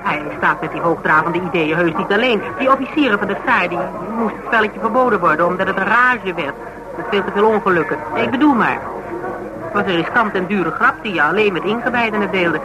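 A woman's voice: mid-range voice, expressive, a little fast, close to an everyday voice, low-quality recording. Radio play: actress as outspoken woman, Netherlands Dutch accent, argumentative and teasing, stubbornly reasons things out in everyday language. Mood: teasing, persuasive. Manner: emphatic, argumentative, colloquial, teasing. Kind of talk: naturalistic radio drama dialogue.

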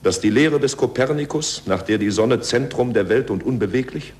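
An actor playing an urbane, authoritative, eloquent cardinal in a 1940s German radio play, on an old recording. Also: male voice, medium pitch, moderate pace, a little mellow, natural voice. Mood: grave, admonishing. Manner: eloquent, rhetorical. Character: urbane, worldly cardinal, courteous yet firmly authoritative.

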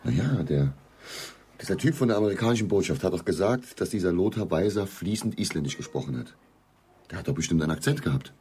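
A man acting in a radio drama as a sceptical, methodical investigator, questioning deliberately as he puzzles over a case. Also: deep voice, animated, warm tone, slightly rough, heavily acted. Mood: puzzled.

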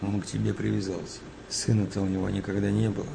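Older army mate in a ww2 radio play, creaky voice, steady, consoling, noisy behind him. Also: male voice, on the low side, fast talker. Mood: sober, matter-of-fact. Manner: colloquial, reassuring. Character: gruff, fatherly older comrade soldier, steady and consoling. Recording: noisy wartime ambience behind voice.

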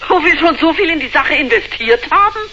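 An actress playing an imperious, haughty corporate director, indignant and threatening, in a German radio drama. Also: female voice, sits high, lively intonation, brisk, bright, forceful tone, lo-fi sound. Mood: outraged.

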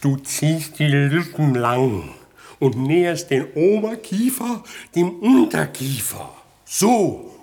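An actor playing a pompous, gullible, aging bourgeois master in a 1960s German radio comedy, theatrical and self-important in manner. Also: male voice, on the high side, big pitch swings, slow, darkish tone, heavily acted. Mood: smug, exasperated.

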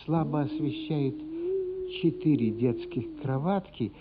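An actor as a grave storyteller, narrating a radio drama in a measured, dramatic way with deliberate pauses. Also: male voice, a little high, lively intonation, heavy background noise. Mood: hushed, grave. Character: grave male storyteller of a childhood tale. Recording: muffled narrow radio sound, music behind.

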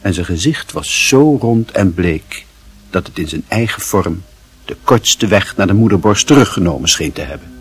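A man narrating a literary radio drama in a solemn, measured and expressive manner. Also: deep voice, slightly rough, heavily acted.

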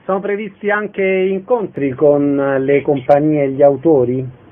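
A man's voice: fairly high pitch, steady tone, measured pace. A man hosting a radio interview programme, delivering prepared introductions and questions to guests. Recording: narrow-band, tinny radio sound.

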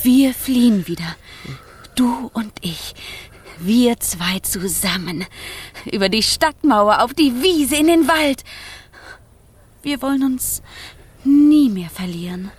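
Radio play role: wild young girl fire spirit, high, childlike, slow expressive delivery, urgent and devoted. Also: slightly rough, heavily acted. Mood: urging.

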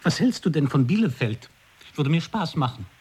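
Give me an enthusiastic man with a raised, lively, husky voice. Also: a little high, normal speed, full character voice. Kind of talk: experimental radio play dialogue.

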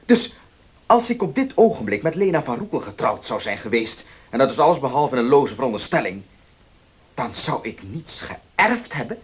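Indignant radio-drama heir: husky, swinging intonation, blunt, formal, distrustful. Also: male voice, mid-range voice, sing-song, moderate pace. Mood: stunned. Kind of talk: Dutch radio play acting.

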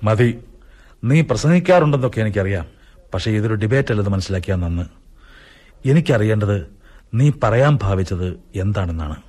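Husky, low-voiced anxious doctor. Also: male voice, lively intonation, fairly quick, pauses often, darkish tone. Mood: insistent. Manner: earnest, pleading, insistent. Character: anxious, defensive male doctor, newly married. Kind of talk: radio drama acting.

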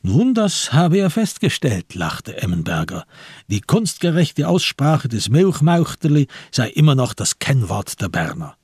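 A man reading scripted literary narration as the narrator of a radio play, with a husky voice. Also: medium pitch, wildly swooping pitch, measured pace, a little mellow, no echo, studio quality.

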